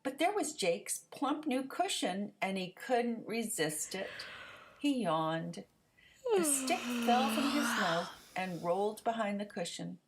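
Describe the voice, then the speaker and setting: Expressive, unhurried woman reading a storybook. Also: mid-range voice, noisy background. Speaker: storybook narrator. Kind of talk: animated children's read-aloud narration.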